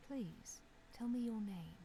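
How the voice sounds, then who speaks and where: A woman playing a mysterious, solemn narrator of a dark fantasy visual novel, with slow, hushed, measured storytelling. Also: fairly high pitch, gravelly, slight background noise. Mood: mysterious, welcoming. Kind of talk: scripted second-person narration for a visual novel.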